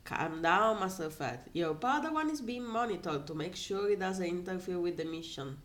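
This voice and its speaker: A woman's voice: on the low side, expressive, moderate pace, fairly bright tone. A woman voicing a stiff, uneasy male council elder in a space adventure story, formal and defensive. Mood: placating.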